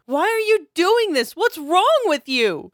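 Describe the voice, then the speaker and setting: A man voicing a desperate young girl in a high, tearful, pleading falsetto. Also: sing-song, takes it slowly, fairly bright tone, no room sound, clean studio sound, heavily acted.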